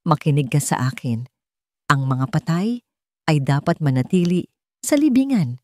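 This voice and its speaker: A woman's voice: sits low, very animated, unhurried, warm tone. Woman acting a domineering rich Filipino wife. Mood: cold, menacing. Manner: commanding, code-switching into English. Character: cold, domineering, two-faced rich wife, sweet when watched.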